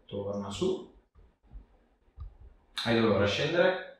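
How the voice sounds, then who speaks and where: Guy chiropractor, low voice, short gentle instructions, really echoey and far from the mic. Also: animated, slow pace. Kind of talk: hands-on exam instructions and explanations to a patient. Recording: echoey room, distant mic, background noise.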